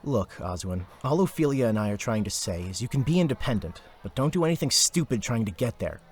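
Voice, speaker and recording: A man acting the part of a steady, protective older brother in an audio drama, speaking in a measured, reassuring way. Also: mid-range voice, lightly acted. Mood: firm.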